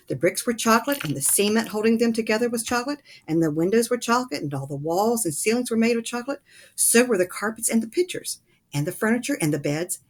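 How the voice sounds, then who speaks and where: Woman reading as an excitable old grandfather storyteller. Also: fairly high pitch, pitched above the performer's own voice. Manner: animated, dramatic storytelling.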